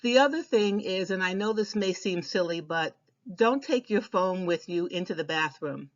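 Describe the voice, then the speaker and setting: The recording is slightly dull and lo-fi. A woman with a fairly low voice presents practical instruction in an informal, conversational style. She repeats key advice for emphasis. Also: lively intonation, normal speed.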